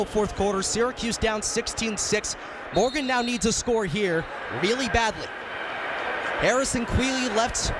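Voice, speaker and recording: An American man doing live radio sports play-by-play in emphatic, clipped phrases. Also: high-pitched. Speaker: play-by-play commentator.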